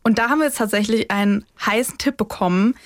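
A woman chatting casually as a podcast co-host, informal and quick to laugh. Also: mid-range voice, no echo, studio quality. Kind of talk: casual chat between co-hosts.

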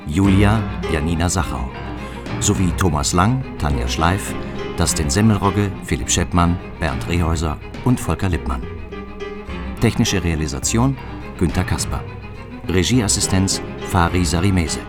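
Deep-voiced male radio announcer over music. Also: lively intonation, measured pace. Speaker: news reader and credits announcer. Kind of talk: formal scripted radio announcing. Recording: music behind the voice.

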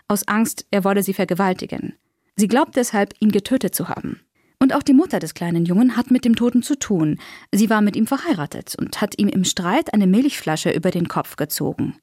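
A woman's voice: mid-range voice, darkish tone, no room sound. Female narrator, mid-range voice, reading a prepared summary, very dry sound. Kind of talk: scripted narration.

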